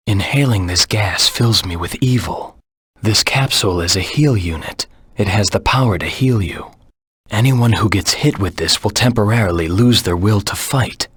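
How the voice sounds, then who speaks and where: An actor playing a cold, determined anti-hero hedgehog in a video game, speaking in a terse, serious, deliberate way with a husky, gravelly voice. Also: male voice, fairly low pitch, a bit bright, no echo, clean studio sound. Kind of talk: video game character voice lines.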